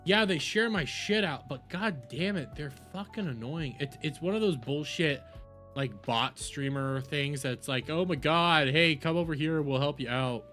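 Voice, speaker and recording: A man giving a casual, exasperated political rant as a streamer, halting and repeating words, sometimes swearing. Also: on the high side, bright, forceful tone, faint music behind. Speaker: streamer, host.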